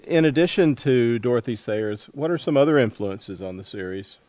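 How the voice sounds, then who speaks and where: A man's voice: medium pitch, lively intonation, moderate pace, a little mellow, no room sound. A man hosting a casual book interview, asking questions in a slightly hesitant, conversational way. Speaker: podcast interviewer.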